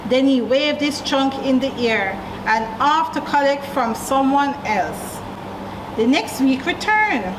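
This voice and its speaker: A woman with a Jamaican accent reading a story aloud to children, with deliberate emphasis and questions to young listeners. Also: high voice, lively intonation. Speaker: library storyteller. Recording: echoey room, distant mic, clear background noise.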